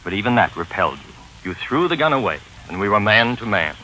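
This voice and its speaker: An actor playing a wry, pompous gentleman sleuth in a 1940s radio drama, with formal, genteel diction. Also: fairly low pitch, very animated, brisk, a little hiss or hum, rough recording. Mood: magnanimous. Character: wry, pompous gentleman sleuth narrating his own caper. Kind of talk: 1940s radio drama acting, first-person narration. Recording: 1940s radio recording with music behind.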